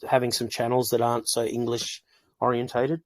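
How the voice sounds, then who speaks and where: A man's voice: medium pitch, little pitch movement, fairly quick, dry recording. A man answering questions in an online AMA as a project team member, casual and easygoing.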